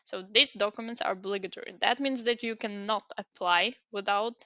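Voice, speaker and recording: A woman's voice: mid-range voice, brisk, sharp tone, dry recording. A young woman, a native Ukrainian speaker, talking through an online application step by step, with frequent hesitations and fillers. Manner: explaining step by step with many fillers. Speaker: tutorial presenter. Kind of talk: screen-recorded application walkthrough.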